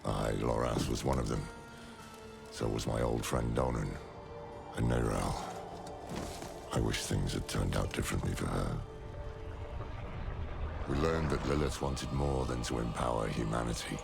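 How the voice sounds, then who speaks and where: An actor playing a world-weary, gruff old man who narrates a game story gravely and reflectively, with a gravelly voice. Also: a bass voice, measured pace, pauses often, music in the background, heavily acted. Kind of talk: scripted dramatic game narration.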